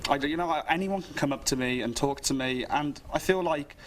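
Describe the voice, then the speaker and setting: A man's voice: on the high side, fairly quick, fairly bright tone, a little hiss or hum. A young man running for student governor, rambling with many fillers. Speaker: candidate.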